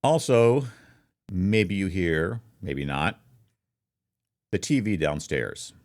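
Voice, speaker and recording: A man with a very deep voice gives a casual, explanatory talk in a streamer's style. His delivery is informal and demonstrative. The sound is dry and close, recorded on a microphone near his mouth in a treated room. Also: big pitch swings, slow pace, a little mellow, no room sound. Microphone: close foam-covered microphone, slightly offset from mouth.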